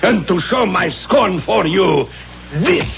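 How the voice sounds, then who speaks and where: A grandiose drama teacher for a radio play, imperious and theatrical. His delivery is slow and gravelly with big swings of pitch. The old recording is dull. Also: expressive, noisy background, exaggerated voice. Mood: grandly scornful. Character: theatrical male acting coach, imperious, provoking his pupil. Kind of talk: 1970s radio drama acting.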